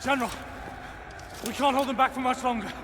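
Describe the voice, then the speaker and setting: A panicked Roman soldier, desperate and frantic. His strained voice is pushed extremely high, in dramatic game dialogue with clear battle noise behind it. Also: brisk. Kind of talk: dramatic video game acting.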